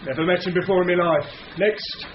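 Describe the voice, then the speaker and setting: Male actor: flippant, evasive fitness freak, high pitch, radio mystery, echoey muffled room. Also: a little fast, close to an everyday voice. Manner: breezy, jokey, evasive. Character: cocky, flippant, muscular male fitness fanatic suspect. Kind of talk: British radio drama acting. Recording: echoey, dull, noisy recording.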